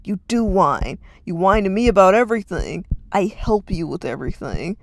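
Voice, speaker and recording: A storyteller voicing a stressed teenage older brother who snaps and yells harshly. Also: mid-range voice, sing-song, moderate pace, slight background noise. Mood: furious, yelling. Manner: harsh, curt, yelling.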